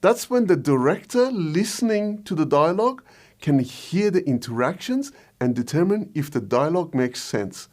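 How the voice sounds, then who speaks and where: A man's voice: a little high, lively intonation, moderate pace, a little mellow. A man presenting a scripted explainer as a video host and filmmaker. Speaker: video host, short film director.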